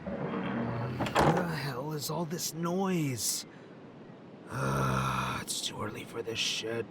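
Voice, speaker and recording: Groggy, slow hungover man, off-mic. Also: huge pitch swings, recorded from a distance, full character voice. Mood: grumpy. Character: groggy, hungover male party-animal agent, grumpy but affable. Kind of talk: audio drama acting. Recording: noisy, off-mic with rumble.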